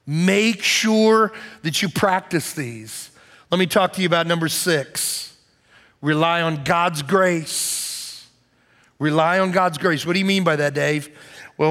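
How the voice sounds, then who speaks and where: A man's voice: sits high, fairly bright tone. A man giving a conversational sermon as pastor, repeating his points for emphasis. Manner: conversational preaching with emphatic repetition.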